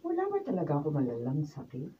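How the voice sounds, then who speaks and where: A woman's voice: low-pitched, huge pitch swings, fast talker, slightly rough, heavily acted. A woman playing a probing, insistent family member in a radio drama, with a questioning manner. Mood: anxious, suspicious. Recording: dull, noisy, distant room recording.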